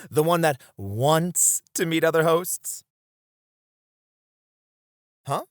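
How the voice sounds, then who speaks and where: A man playing a suave, playful club host in a flirty ASMR roleplay, with smooth, teasing, theatrical hospitality. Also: fairly high pitch, expressive, very slow, dry recording, studio quality. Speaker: club host showing a guest around.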